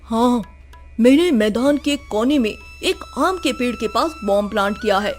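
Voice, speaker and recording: A woman voicing a menacing, boastful male terrorist plotting revenge in an animated moral story. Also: fairly high pitch, normal speed, lightly acted. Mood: menacing, gloating. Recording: music behind the voice.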